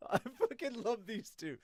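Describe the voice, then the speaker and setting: A man's voice: high-pitched, big pitch swings, slow, darkish tone, dry recording, clean studio sound. A man hosting a manga read-along, breaking in with amused, sweary, casual reactions. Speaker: podcast host and reviewer.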